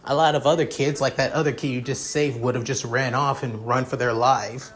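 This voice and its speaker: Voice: medium pitch, fairly even intonation, a little fast, lightly acted. Narrator playing a tough young girl, brash, blunt, scolding, protective, storytelling, music underneath. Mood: blunt encouragement.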